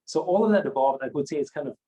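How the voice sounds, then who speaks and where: A man in his fifties, a German native speaker who grew up in Austria, answering interview questions in a measured, reflective way with many hedging fillers. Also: fairly high pitch, dark tone, rough recording. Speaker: company founder and guest. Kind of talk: podcast interview.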